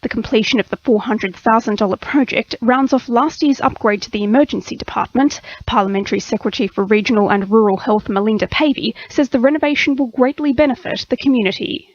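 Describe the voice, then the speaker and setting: An Australian woman reads the news on radio in a scripted bulletin style. Her voice sits a little high. The broadcast audio is slightly dulled. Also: fast, few pauses, dry recording, lo-fi sound. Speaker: radio newsreader.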